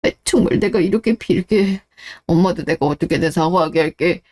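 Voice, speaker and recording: A woman's voice: lowish voice, brisk, a little mellow, close to an everyday voice. A woman voicing an earnest, emotional husband, loyal to his wife and embittered, in a melodramatic radio drama. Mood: pleading.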